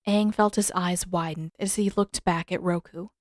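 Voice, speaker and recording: A woman's voice: fairly low pitch, steady tone, moderate pace, no echo, studio quality. A woman reading scripted fiction aloud as the narrator of an audiobook-style story. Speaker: narrator, fan fiction author.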